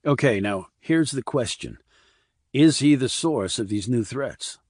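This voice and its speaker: A man's voice: medium pitch, expressive, a little slow, a little mellow, dry recording, clean studio sound. A man voicing a terse, probing military investigator with clipped, direct questioning in an audiobook. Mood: probing, matter-of-fact. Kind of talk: audiobook character dialogue.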